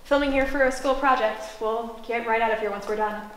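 A woman's voice: a little high, fairly quick, natural voice. A young woman playing a determined student reporter in an amateur-sounding horror film, over a noisy recording. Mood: composed, presenting. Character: determined female student reporter investigating disappearances. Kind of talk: found-footage horror film acting, with on-camera report.

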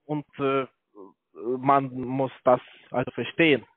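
A man with a foreign accent, not a native speaker, making a hesitant telesales pitch for investments. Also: level tone, dry recording. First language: not German. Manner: halting, many hesitations. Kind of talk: phone sales pitch. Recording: tinny dry phone line.

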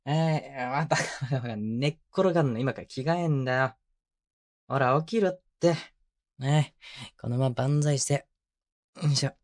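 A man playing a tender young boyfriend who teases and mock-scolds in casual, rough-edged speech, in an intimate binaural ASMR audio drama. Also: measured pace, a little mellow, close to an everyday voice. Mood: playful exasperation. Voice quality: medium-low voice. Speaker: voice actor. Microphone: close binaural microphone.

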